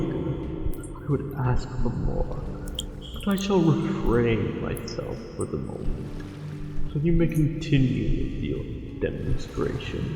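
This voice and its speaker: A man's voice: medium pitch, warm tone. A man playing a lovestruck, possessive merman in an ASMR roleplay, speaking in formal, old-fashioned phrases with tender teasing. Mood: indulgent, restrained. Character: lovestruck, possessive male angler merman, courtly and seductive. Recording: heavy cavernous echo, music underneath.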